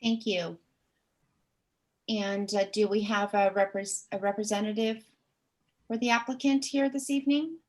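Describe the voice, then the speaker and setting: A woman chairs a planning commission meeting and calls on commissioners and applicants in turn. Her voice is mid pitched, and she keeps things measured and procedural. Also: steady tone.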